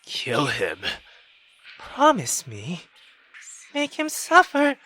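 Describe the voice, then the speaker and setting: A visual novel player, a woman, voicing a cruel, accusing mother in a venomous, drawn-out, commanding way. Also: fairly low pitch, wildly swooping pitch, bright, forceful tone, pitched below the performer's own voice, heavily acted, rough recording. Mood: pleading, vengeful. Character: cruel, accusing mother scolding her daughter.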